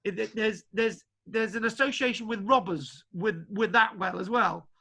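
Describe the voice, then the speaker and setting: A man lecturer from Wales talking casually to his online class, halting with many restarts and fillers. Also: sits high, gravelly, sharp tone, breathy edge. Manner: halting, full of restarts and ums. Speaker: archaeology lecturer. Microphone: video call. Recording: spotty video call connection.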